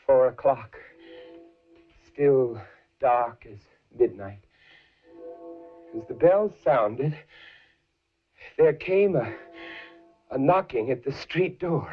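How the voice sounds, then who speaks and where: An actor playing a nervous, mad murderer confessing with cunning glee in a theatrical horror monologue, halting and intense, with stammered repetitions. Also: male voice, fairly high pitch, phone-quality sound.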